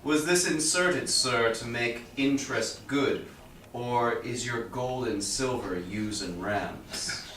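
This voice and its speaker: A man's voice: medium pitch, slow, fairly bright tone. A man acting a proud, scornful merchant in a Shakespearean staged reading, with formal, declamatory delivery. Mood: challenging. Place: live staged reading in a reverberant room. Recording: echoey room, distant mic, faint noise.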